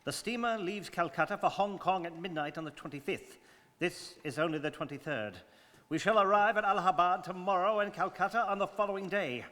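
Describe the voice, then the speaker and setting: A man plays a phlegmatic English gentleman in a radio play, curt and courteous. The voice is high and bright, set in long hall reverb. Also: fairly quick. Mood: curt, unruffled. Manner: curt, precise, unruffled. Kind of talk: old-time radio drama acting.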